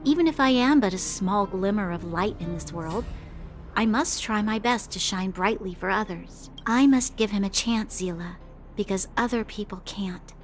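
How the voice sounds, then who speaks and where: A woman voicing a poised, dignified young female commander in formal, measured game dialogue. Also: medium pitch, faint music behind, close to an everyday voice. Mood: earnest.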